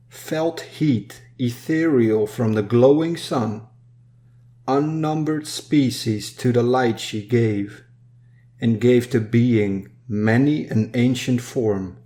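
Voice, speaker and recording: A man reading a dark narrative poem in a solemn, measured storytelling manner. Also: medium pitch. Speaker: poem reader. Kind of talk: solemn scripted poetry recitation.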